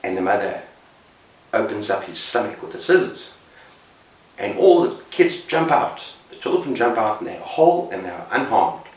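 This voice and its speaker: Deep-voiced man storytelling in an echoey room. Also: very animated, normal speed. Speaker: book reviewer on a video channel. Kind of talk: casual story retelling to camera. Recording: roomy echo, distant microphone.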